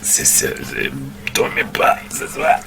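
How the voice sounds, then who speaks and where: A man playing a languid, decadent libertine who often drops into a breathy whisper. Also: a little slow, background noise, close to an everyday voice. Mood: languid, intimate. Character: languid, decadent French-speaking libertine, low and slow.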